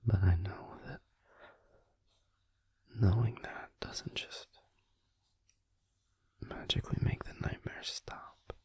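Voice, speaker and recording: A man playing a gentle vampire boyfriend in an intimate comfort roleplay, soothing and tender in a hushed, breathy whisper close to the microphone. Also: fairly low pitch, steady tone. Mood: gentle, understanding. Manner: soothing, tender, slow and reassuring.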